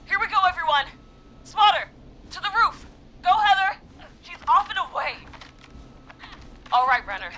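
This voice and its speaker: A woman's voice: extremely high pitch, very animated, normal speed, long pauses, pushed and bright, heavily acted. An actress playing a desperate young woman leading a getaway plan in a video game, with noise behind her voice. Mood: urgent commanding.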